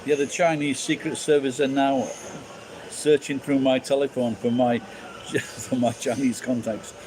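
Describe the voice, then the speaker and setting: A man's voice: medium pitch, level tone, measured pace, darkish tone, a little hiss or hum, rough recording. A man from England with a Sheffield accent, ranting bluntly and swearing in casual chat. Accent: Sheffield English.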